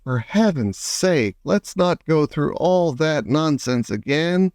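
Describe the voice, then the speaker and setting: A man's voice: on the high side, animated, takes it slowly, darkish tone, clear, resonant tone, no room sound, studio quality. A man voicing a gloomy, self-pitying male earthworm in a children's storybook, morose and complaining. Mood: exasperated.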